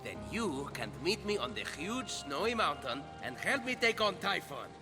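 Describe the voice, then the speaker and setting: A man's voice: a little high, sing-song, moderate pace, over music. A man playing a confident, roguish warrior, a teasing older brother in a video game. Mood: reassuring, cocky. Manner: cocky, teasing. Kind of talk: video game cutscene acting.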